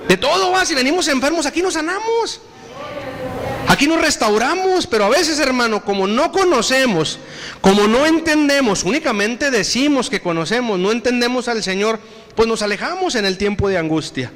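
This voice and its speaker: High-voiced Mexican preacher in a reverberant hall. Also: male voice, expressive, fairly bright tone. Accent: Mexican Spanish. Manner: preaching with repeated appeals to the congregation, slow emphatic scripture reading. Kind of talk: sermon. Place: church hall.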